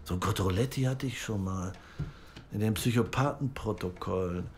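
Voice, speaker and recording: An actor playing a probing, wry middle-aged author in an audio drama, with a dry, teasing manner. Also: male voice, on the low side, moderate pace, a little hiss or hum, natural voice. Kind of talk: naturalistic audio drama acting.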